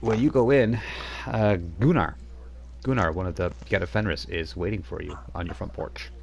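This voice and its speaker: A man narrating as game master, relaxed and halting, with frequent fillers. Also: sits low, creaky.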